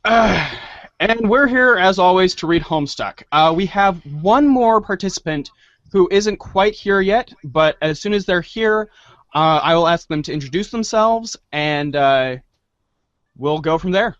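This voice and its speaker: A man hosting a show in a casual, halting way. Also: high voice, lively intonation, fairly bright tone, no echo, lo-fi sound. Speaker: host.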